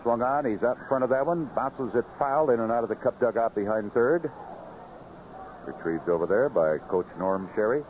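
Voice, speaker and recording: An American man calling baseball play-by-play live on the radio in clipped phrases, in an animated manner. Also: mid-range voice, normal speed. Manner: animated calls rising sharply on big plays, clipped phrases. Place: ballpark broadcast booth. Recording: noisy, muffled vintage AM radio broadcast.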